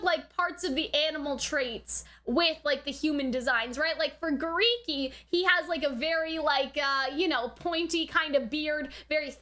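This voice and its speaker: Female reaction host, high and bright voice, chatty and informal, thinking aloud in casual review commentary. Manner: chatty, informal, often ending phrases with tag questions.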